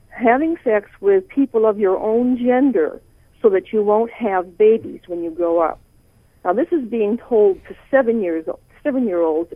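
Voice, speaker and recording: A woman's voice: mid-range voice, breathy edge, no echo. A woman from the northern US with a northern accent, calling in to a radio talk show and telling a story with many hesitant fillers. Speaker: caller. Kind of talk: call-in radio caller. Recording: tinny phone line.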